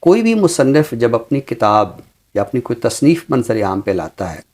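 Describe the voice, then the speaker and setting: A man's voice: mid-range voice, big pitch swings, normal speed, darkish tone. Pakistani man explaining literature in scholarly fashion. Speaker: literary scholar presenting books on a talk show.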